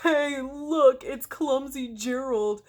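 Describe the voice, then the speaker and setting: Woman as loud, outspoken jungle animals. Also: high voice, slow pace. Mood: sneering.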